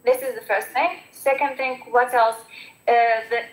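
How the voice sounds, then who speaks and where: A woman's voice: sits high, steady tone, moderate pace, reverberant, rough recording. A young Algerian woman with an Algerian accent, giving earnest, encouraging advice on a video call, with many fillers and restarts. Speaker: former exchange student giving advice. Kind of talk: online panel talk.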